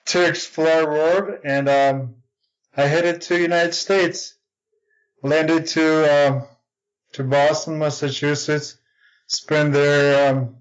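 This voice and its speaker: A young man from Ukraine with a Ukrainian accent, a podcast guest chatting casually and pausing often with ums and uhs. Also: mid-range voice. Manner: hesitant, many ums and uhs. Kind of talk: casual interview chat. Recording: dull lo-fi audio.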